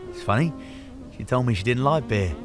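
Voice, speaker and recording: A man's voice: medium pitch, animated, fairly quick, soft background music, close to an everyday voice. A man acting a cheeky, awkward young visitor in an audio drama, with an incredulous, hesitant bantering manner. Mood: awkward, polite.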